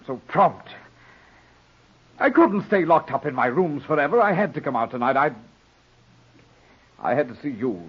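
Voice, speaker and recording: An actor playing a bitter, restless young man in a 1940s radio drama, husky-voiced, brooding and agitated. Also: mid-range voice. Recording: muffled vintage radio recording.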